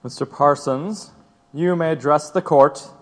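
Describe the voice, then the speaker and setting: A man's voice: fairly high pitch, a little slow, close to an everyday voice. Echoing, stern actor as a male judge. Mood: formal. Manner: formal, commanding. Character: stern male trial judge pronouncing sentence. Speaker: actor in an audio drama. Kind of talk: dramatized courtroom radio acting. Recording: long hall-like reverb.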